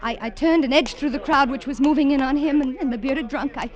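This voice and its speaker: An actress playing a frightened, devoted young woman on the run in a 1950s radio drama, with an American accent and a halting, emotional delivery over a noisy old recording. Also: high voice, muffled recording, lightly acted. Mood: shaken, breathless. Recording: vintage radio recording with clear background noise.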